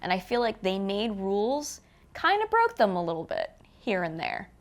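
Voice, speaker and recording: A woman talks as a film review host. Her voice is middling in pitch, and her delivery is informal and conversational. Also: huge pitch swings, moderate pace. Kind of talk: casual chat.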